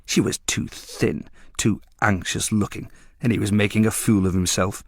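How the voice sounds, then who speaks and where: A low-voiced man reads a story aloud, steady and measured. The recording is dry and close. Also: vocal fry, dry recording. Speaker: narrator. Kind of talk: scripted story reading.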